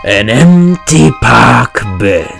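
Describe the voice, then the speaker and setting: A man voicing a sadistic, theatrical supervillain obsessed with fear, gravelly and menacing, in a comic dub. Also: medium pitch, very animated, fairly bright tone, faint music behind, exaggerated voice. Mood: sinister relish. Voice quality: gravelly, creaky. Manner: slow, menacing, theatrical, savouring words. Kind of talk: comic dub villain monologue. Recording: orchestral score behind voice.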